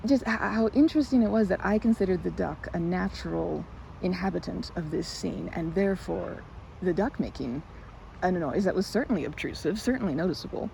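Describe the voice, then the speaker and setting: A woman playing a timid, excitable park regular in an ASMR roleplay, stammering and chattering apologetically in a one-sided conversation. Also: lowish voice, brisk, creaky, slight background noise, low-quality recording. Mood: excited rambling. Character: timid, rambling young woman, excitable park regular who loves ducks.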